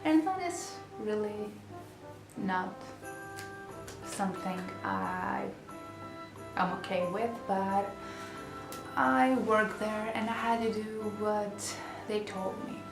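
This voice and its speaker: Slow-talking Greek-accented young woman. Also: medium pitch. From Greece. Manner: chatty, many fillers and restarts. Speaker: vlogger. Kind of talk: casual vlog chat. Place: small bedroom indoors. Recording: roomy echo, music behind. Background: background music.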